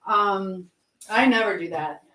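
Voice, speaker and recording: A woman's voice: mid-range voice, takes it slowly, noisy background. A middle-aged woman hosting a casual live chat from home, talking in a chatty, rambling way as she hops between topics and replies to viewers. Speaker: livestream host.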